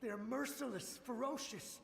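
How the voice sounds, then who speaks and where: An actor playing a traumatized soldier's ghost, desperate and pleading. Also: male voice, very high-pitched, moderate pace, gravelly, reverberant, a little hiss or hum, exaggerated voice. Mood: panicked. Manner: panicked, pleading. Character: shell-shocked soldier apparition, desperate. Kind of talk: game voice acting.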